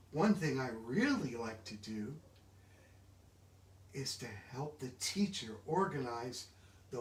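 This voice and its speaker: A man reading a children's book aloud, sometimes stumbling over long words. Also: medium pitch. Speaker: book reader. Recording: echoey room, distant microphone.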